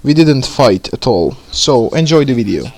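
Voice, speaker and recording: A man's voice: mid-range voice, big pitch swings, darkish tone. Bosnian guy doing a gaming vid intro in english, lots of ums and uhs, normal mid voice. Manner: halting, many fillers, plain word choice. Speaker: gaming YouTuber. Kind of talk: casual intro to camera.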